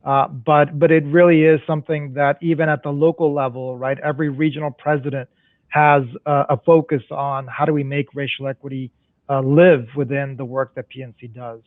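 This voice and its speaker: A man's voice: a little high, level tone, moderate pace, no echo. A man on a discussion panel, a banker explaining community development finance step by step, often restarting his sentences and adding "right?". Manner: explanatory, many restarts and tag questions. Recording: dry, phone-like remote audio.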